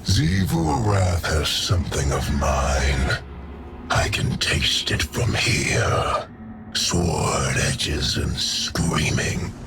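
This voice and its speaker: A man's voice: expressive, very slow, heavily acted. An actor playing an ancient, proud, menacing alien god-king in a video game, with a growling voice and grandiose, archaic phrasing. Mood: brooding menace. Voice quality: deep gravelly growl. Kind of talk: scripted video game acting. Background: loud game music.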